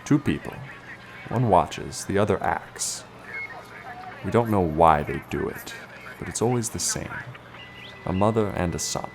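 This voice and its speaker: Casting: male actor as sympathetic, tired police chief, deep register, gentle delivery, horror radio play. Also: lively intonation, a little slow, creaky, pauses often, background noise, heavily acted. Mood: grim, resolute. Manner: gentle, sighing heavily. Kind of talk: horror audio drama acting.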